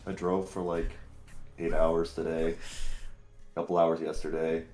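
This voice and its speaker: A man's voice: low-pitched, little pitch movement, measured pace, a little mellow, soft background music. A man who tutors calculus, joining a stream over voice chat and joking in a laid-back way. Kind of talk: casual voice chat.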